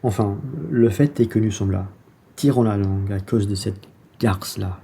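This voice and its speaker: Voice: low-pitched, moderate pace, warm tone, breathy edge, exaggerated voice. An actor playing a blunt, irritable, self-serving bourgeois traveller in a French radio play, with a brusque manner. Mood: irritated.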